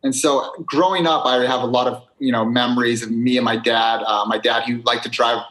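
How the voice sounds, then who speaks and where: A young American man as a podcast guest, talking casually and rambling with lots of fillers in quick bursts. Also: mid-range voice, steady tone, fairly bright tone, low-quality recording. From United States (New Hampshire, Maine). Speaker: guest vlogger. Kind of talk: casual interview chat.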